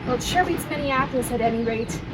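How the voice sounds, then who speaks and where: A woman playing a naive, excitable young woman new to the city in a musical drama reading. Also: high-pitched, fast, noisy background, close to an everyday voice. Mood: delighted, wide-eyed. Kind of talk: musical table read acting. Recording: distant voice under loud music.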